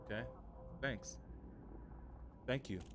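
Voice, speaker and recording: A man's voice: medium pitch, slow pace. A man streaming a game, speaking in short commands to a voice assistant. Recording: faint background noise.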